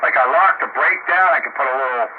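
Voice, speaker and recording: A man's voice: medium pitch, quick pace. A middle-aged or older American man chatting casually about technical matters in a colloquial, folksy way over ham radio. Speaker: amateur radio operator. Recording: narrow, phone-like ham radio audio, static noise.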